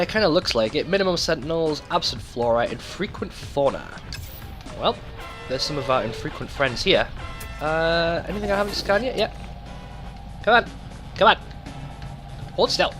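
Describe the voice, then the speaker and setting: A man's voice: fairly high pitch, a bit bright. A man doing casual, rambling video game commentary, swearing freely and talking to himself as he plays. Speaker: let's play host. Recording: music behind the voice. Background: game music.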